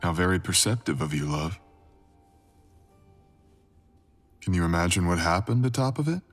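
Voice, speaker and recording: A man playing a brooding, possessive, dangerous creature boyfriend in an intimate ASMR roleplay, husky and breathy, murmuring teasing commands. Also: sits low, expressive, soft background music. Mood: teasing, storytelling. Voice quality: husky, breathy, often dropping to a whisper. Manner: slow, teasing, murmured commands with deliberate pauses.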